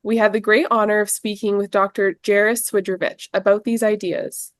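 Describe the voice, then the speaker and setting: A woman's voice: mid-range voice, flat delivery, moderate pace, no echo, studio quality. A young woman, hosting a prepared podcast interview as a student interviewer. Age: young adult.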